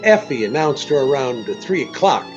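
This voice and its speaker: A hard-boiled male private detective played by an actor in a 1940s-style radio play. His delivery is laconic and slangy, with a very lively pitch range. The sound is slightly dull, with light music behind it. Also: moderate pace, lightly acted. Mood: wry, matter-of-fact. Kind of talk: 1940s radio drama acting.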